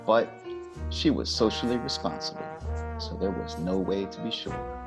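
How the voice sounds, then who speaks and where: A man playing a deadpan, wry, lecherous hardboiled narrator in a humorous noir radio serial. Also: low voice, gravelly, music in the background. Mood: wry, smitten. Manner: deliberate, deadpan.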